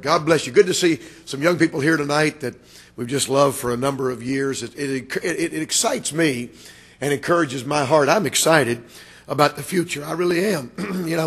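A man preaching a revival sermon with a raspy voice, building to loud, emphatic, drawn-out delivery. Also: medium pitch. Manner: rises to loud emphatic preaching, stretched words. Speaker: guest preacher.

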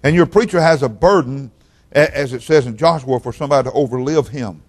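A man's voice: mid-range voice, a little fast. An older man with a drawl delivers a sermon as a guest preacher. He talks in a homespun, wandering way, stumbling and repeating words as he goes. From Oklahoma, raised in Texas. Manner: folksy, rambling, repeats words. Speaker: guest pastor.

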